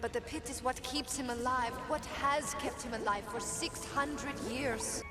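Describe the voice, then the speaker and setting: A devoted, earnest young woman from an animated series, with a slightly high voice. Music plays clearly under her lines, and a roomy, long reverb hangs on every word. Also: unhurried.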